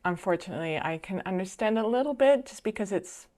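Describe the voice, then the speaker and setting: A woman's voice: medium pitch, expressive, fast talker, a little mellow. Chatty woman reacting to a video. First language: Dutch. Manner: thoughtful, chatty, with many fillers and restarts. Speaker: filmmaker and reaction video host. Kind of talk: casual reaction commentary.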